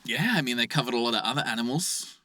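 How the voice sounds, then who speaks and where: Bright-voiced male improv host. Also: medium pitch, fast talker, no echo. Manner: playful, deadpan salesman patter. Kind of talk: improv scene and casual chat.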